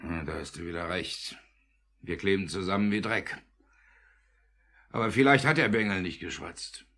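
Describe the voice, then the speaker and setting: A fairly deep-voiced rough criminal who likes to be in charge. He is blunt and sardonic, with a mocking edge, in the manner of 1950s German radio drama. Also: normal speed, sharp tone, heavily acted. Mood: scheming. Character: rough, sardonic male criminal accomplice, domineering.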